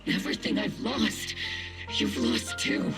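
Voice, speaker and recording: A woman's voice: unhurried, lightly acted. A woman playing a grim, grieving military commander in a game cutscene, under music. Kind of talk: dramatic game cutscene acting. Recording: loud music over the voice.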